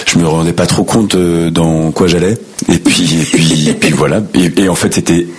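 A man with a French accent, an actor casually telling stories in an interview, enthusiastic and often halting. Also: deep voice, steady tone, slightly rough. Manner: enthusiastic, halting, repeats himself. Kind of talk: casual anecdotal interview talk.

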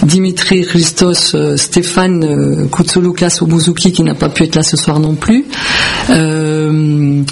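A woman from Belgium with a creaky voice, answering as a musician in a radio interview in halting, repetitive phrases. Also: low-pitched, fairly even intonation, a little mellow, slight background noise. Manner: hesitant, halting phrasing with repeated words. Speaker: musician, founding member of a band.

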